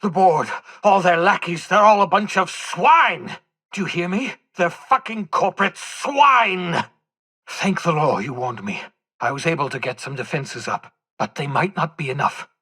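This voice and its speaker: Jittery older mad scientist for a video game, husky voice, wordy and wry, pitch all over the place. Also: male voice, expressive, unhurried, bright, forceful tone, heavily acted. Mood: urgent, alarmed. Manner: theatrical, hesitant asides, wordy and wry. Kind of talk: video game character dialogue.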